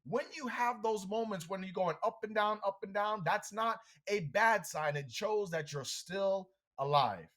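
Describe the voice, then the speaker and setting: A man who is a guest on a podcast, giving an energetic motivational talk full of storytelling and speaking straight to the listeners. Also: high-pitched, a little slow, few pauses. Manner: animated, energetic, addresses listeners directly.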